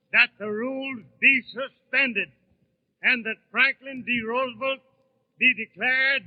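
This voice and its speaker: Slow, very high-pitched chairman, tinny radio. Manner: deliberate, declamatory, broken into short phrases. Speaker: convention chairman. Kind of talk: formal parliamentary announcement from the podium. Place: large convention hall. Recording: thin, tinny 1930s radio broadcast recording.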